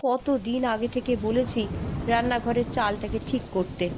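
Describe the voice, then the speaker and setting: A woman's voice: on the high side, fairly even intonation, moderate pace, noisy background, lightly acted. A woman voicing a frightened village character in a cartoon, with a plaintive, dramatic delivery. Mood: alarmed. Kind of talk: scripted cartoon dialogue.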